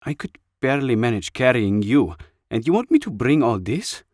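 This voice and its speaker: An older woman veteran, acted by a male reader in an audiobook, lifted above his usual low pitch. She is wry, weary and protective, with an earnest, firm way of speaking and a close, dry sound. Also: big pitch swings, moderate pace, darkish tone, no echo.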